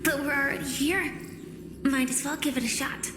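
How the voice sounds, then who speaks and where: An actress voicing an earnest, determined young woman fighter in an anime game, speaking softly. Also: high voice, fairly even intonation, moderate pace, natural voice. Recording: echoey reverberant hall, music behind. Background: game music.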